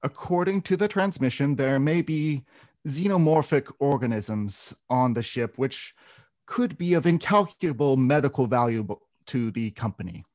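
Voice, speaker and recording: A man's voice: mid-range voice, animated, darkish tone, close to an everyday voice. A man playing a businesslike corporate team lead in his thirties delivering formal messages in a screenplay table read. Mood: official, businesslike. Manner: formal, measured, official.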